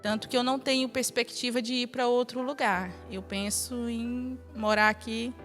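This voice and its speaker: Higher-pitched Brazilian woman in her thirties. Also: fairly even intonation, normal speed, a bit bright, faint music behind. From northern Brazil. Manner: chuckles often while talking. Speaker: interview guest. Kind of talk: casual personal storytelling.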